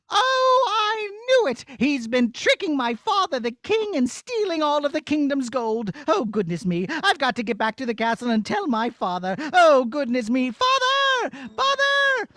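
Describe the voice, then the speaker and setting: A man voicing an earnest, excitable young princess in a children's puppet show, melodramatic and breathlessly exclaiming. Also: very high-pitched, a little slow, few pauses, dry recording, studio quality, voice pushed up, exaggerated voice. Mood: alarmed.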